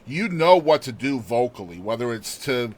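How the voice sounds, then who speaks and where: A man's voice: mid-range voice, very animated, normal speed, darkish tone. A man hosting a podcast interview, asking long, hesitant questions with enthusiasm. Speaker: podcast host.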